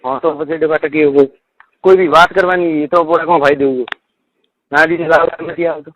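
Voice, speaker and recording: A man's voice: a little high, steady tone, fairly quick, fairly bright tone. Muffled phone-call Marwari man, chatting casually. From Rajasthan, India. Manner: repeats short questions and phrases. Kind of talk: casual private phone chat. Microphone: telephone.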